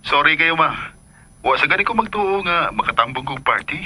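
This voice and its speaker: Apologetic son, very bright voice. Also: male voice, fairly high pitch, animated, moderate pace, breathy edge, full character voice, rough recording. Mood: evasive. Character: apologetic, evasive grown son. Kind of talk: Filipino radio drama acting.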